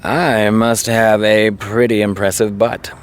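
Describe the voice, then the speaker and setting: An actor playing a lonely man who deflects with deadpan jokes. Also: on the low side, slow, clean tone. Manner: dry, deadpan joking. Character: lonely, wry man, maybe still drunk. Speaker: Warren. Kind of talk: web series drama acting.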